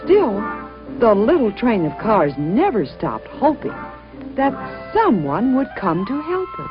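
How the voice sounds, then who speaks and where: A woman's voice: a little high, huge pitch swings, slow, music in the background. A woman narrating a children's story expressively, as a storyteller. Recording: muffled, noisy old-sounding recording.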